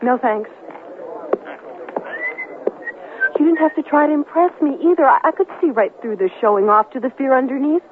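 A woman's voice: high voice, fast, lightly acted. An actress playing a hurt but firm young ex-girlfriend in a radio drama, earnest and emphatic. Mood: tender, earnest. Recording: tinny narrowband radio broadcast with faint noise.

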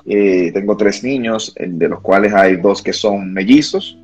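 A man's voice: on the low side, a little slow, a little mellow, husky, lo-fi sound. A Dominican man from Santiago, a guest in a casual livestream chat, talking with many fillers and restarts. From Dominican Republic.

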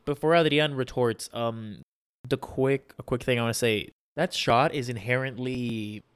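A man's voice: medium pitch, moderate pace, smooth. A man in a casual sports discussion, explaining carefully with many restarts. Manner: analytical, hesitant restarts.

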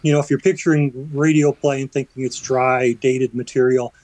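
Brief: man as a radio guest, middle pitch, dry studio mic, eager and rambling. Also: steady tone, normal speed, darkish tone, no room sound, poor audio. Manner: chatty, explains with fillers and restarts. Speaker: theater company vice president, guest. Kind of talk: radio interview.

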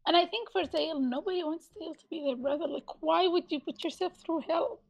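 A woman co-hosting a casual chat podcast, reacting quickly and laughing often. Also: very high voice, creaky, muffled recording. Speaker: podcast co-host.

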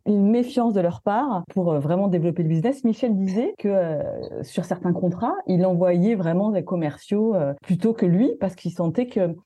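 A woman's voice: fairly low pitch, moderate pace, hardly any pauses, warm tone, dry recording. A woman hosting a casual business interview, chatty and full of hesitations. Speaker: podcast host. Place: in Australia.

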